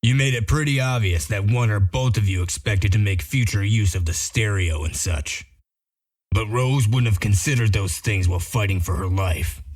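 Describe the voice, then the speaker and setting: A man playing a gruff, shrewd wolf detective in a comic dub, explaining things in a methodical, sardonic way. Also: on the low side, normal speed, a little mellow, natural voice.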